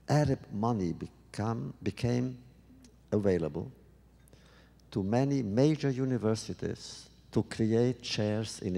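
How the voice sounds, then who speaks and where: A man answering audience questions at a public talk, speaking thoughtfully and haltingly with many restarts. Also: fairly low pitch, creaky, mellow, in a large hall.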